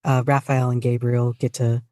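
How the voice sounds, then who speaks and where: Casual podcast guest, halting but enthusiastic, dry sound. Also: medium pitch, flat delivery, mellow, dry recording. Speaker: podcast guest, fan fiction writer. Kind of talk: casual interview chat.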